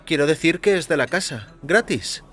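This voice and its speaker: Man putting on a high, deferential waitress. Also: lively intonation, moderate pace, fairly bright tone, a little hiss or hum. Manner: deferential, careful. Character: courteous, wary waitress, a woman serving customers. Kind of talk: audio fiction character acting. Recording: music behind the voice.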